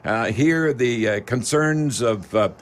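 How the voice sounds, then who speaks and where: A man hosts a casual radio talk show in a studio. He speaks in a folksy, halting way, often pausing mid-sentence as he addresses his listeners directly. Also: medium pitch.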